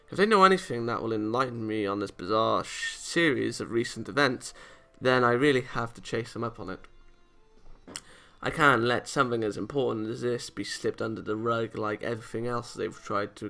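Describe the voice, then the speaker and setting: A man hosting a game playthrough, reading a visual novel aloud and breaking into animated, exasperated commentary. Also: mid-range voice. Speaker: let's play host.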